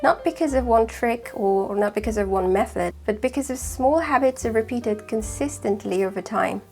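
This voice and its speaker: A woman whose first language is Farsi, speaking in a British accent with a slight northern English touch, giving calm, measured, scripted explanations as a language-learning host. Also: fairly high pitch, faint music behind. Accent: British with slight northern English influence. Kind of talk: scripted talk to camera.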